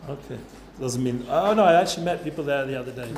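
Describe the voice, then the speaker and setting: A man, speaking to a group of students in a loose, chatty way full of asides. His voice sits at a middle pitch. It is recorded in a roomy, echoey space over a faint hiss. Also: quick pace. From North London, England. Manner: chatty, informal, lots of fillers and asides. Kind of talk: casual talk to a youth group.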